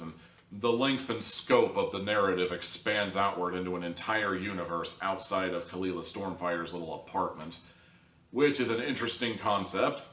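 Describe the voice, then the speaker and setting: Male reviewer, lowish voice, casual and bluntly sarcastic delivery, recorded off mic in a roomy space. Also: a bit bright, recorded from a distance. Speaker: online audio drama reviewer. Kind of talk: casual opinionated review commentary. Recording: echoey room, off mic.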